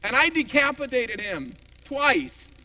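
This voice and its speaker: Animated high-voiced pastor telling jokes. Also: male voice, slow, low-quality recording. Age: 40s. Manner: animated, joking, self-deprecating storyteller with many restarts. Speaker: senior pastor. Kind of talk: conversational sermon with personal stories and jokes. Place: church sanctuary.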